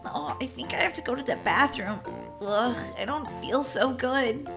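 Woman acting a whiny, high-pitched sick young wife. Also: fairly bright tone, faint music behind. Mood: groggy, queasy.

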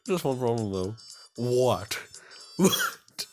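A man's voice: medium pitch, sing-song, slow pace. A man hosting a video game playthrough, reading visual novel text and adding casual, self-mocking asides. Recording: music behind the voice. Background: game music.

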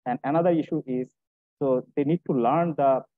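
A middle-aged man speaking haltingly as a panelist in a webinar, in non-native English. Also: mid-range voice, no room sound. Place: indoor room, on a video call. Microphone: wired earphones on a video call. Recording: tinny, phone-like video-call audio.

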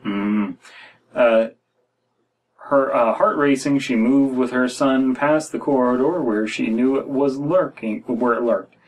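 A man's voice: medium pitch, takes it slowly, rough recording. Wry American man reading aloud. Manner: wry, critical asides. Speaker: podcast co-host. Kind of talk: reading a horror story aloud with mocking commentary. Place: small room indoors.

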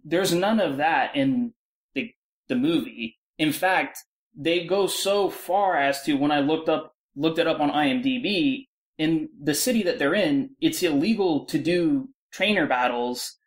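A man's voice: on the high side, measured pace. A man giving blunt, insistent opinions as a guest in a casual panel discussion.

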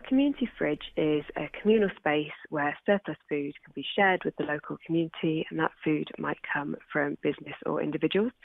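British woman being interviewed over a tinny phone line, bit of vocal fry, measured explainer. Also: deep voice, no room sound. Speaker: spokesperson for an environmental charity, interviewee. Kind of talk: radio interview, explanatory talk.

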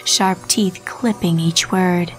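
A woman's voice: lowish voice, steady tone, slow. A woman reading a horror story as a scripted narrator. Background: music.

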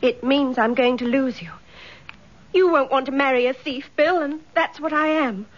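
An anxious young British woman played by an actress, high-voiced and earnest, with a remorseful, troubled manner. The radio drama recording is slightly dull. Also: moderate pace, natural voice. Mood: resigned, anxious.

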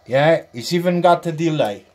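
A man giving an informal hands-on demonstration as a tutorial host, speaking in a halting, hesitant way. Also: fairly high pitch, darkish tone. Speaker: host of a hobby radio tutorial. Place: small indoor workbench area.

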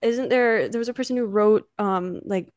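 A young American woman hosts a podcast in casual chat, bubbly and quick to giggle, filling her talk with likes and ums. Her voice is medium-pitched, and the close mic leaves it dry with no room sound. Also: darkish tone, no echo, dull audio. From United States. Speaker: podcast host. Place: indoor studio on a sofa. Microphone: handheld dynamic mic on a boom arm.

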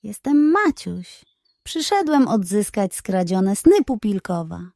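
A woman's voice: medium pitch, sing-song, takes it slowly, gravelly, warm tone, dry recording, clean studio sound, exaggerated voice. A woman voicing a small, brave and honest male kitten in a children's storybook, earnest and wide-eyed. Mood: earnest, firm.

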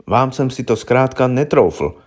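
A man's voice: mid-range voice, animated, a little mellow, pitched above the performer's own voice, lightly acted. A man voicing a polite, candid police investigator in an audiobook, asking questions in a deferential, coaxing, colloquial way. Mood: curious. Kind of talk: audiobook character voice.

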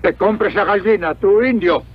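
A man playing a haughty, scornful gentleman in a cartoon, demanding and contemptuous. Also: high-pitched, normal speed. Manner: imperious, contemptuous. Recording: muffled lo-fi cartoon soundtrack.

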